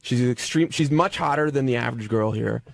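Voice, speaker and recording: A man's voice: mid-range voice, a little fast, creaky. A man arguing casually on air, defensive and hesitant, putting his points in piecemeal phrases.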